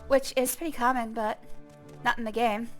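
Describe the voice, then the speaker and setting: A young woman with a high voice gives relaxed commentary over a video game. She thinks aloud and pokes fun at herself, and faint game music plays underneath. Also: moderate pace. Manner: chatty, self-deprecating, thinks aloud. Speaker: gaming streamer. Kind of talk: casual gameplay commentary.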